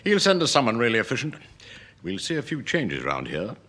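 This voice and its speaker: A man's voice: mid-range voice, huge pitch swings, brisk, fairly bright tone. A man playing a pompous, work-shy middle-aged civil servant, blustering and stammering with an English accent in a British radio sitcom.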